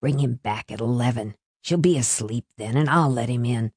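Woman doing a low, whispering timid uncle. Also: moderate pace, darkish tone, breathy edge, no echo, studio quality. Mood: furtive. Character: timid, pale uncle, henpecked and secretive.

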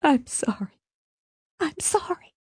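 A woman's voice: sits high, very animated, slow, darkish tone, no echo, clean studio sound, voice pushed up, heavily acted. A woman voicing a worn-down, defeated mother in an audiobook, moaning and crying as she speaks. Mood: apologetic. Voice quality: moaning, tearful.

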